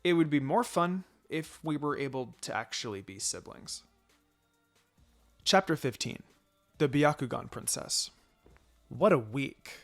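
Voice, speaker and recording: A man's voice: mid-range voice, animated, unhurried, gravelly, pauses often, a bit bright, soft background music. A man narrating a first-person fan fiction story.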